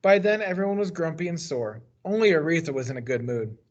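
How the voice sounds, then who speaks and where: Higher-pitched American man reading aloud, slightly muffled. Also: expressive, fast talker. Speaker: teacher reading a book aloud. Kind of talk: read-aloud storytelling of a children's novel. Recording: dull, lo-fi sound.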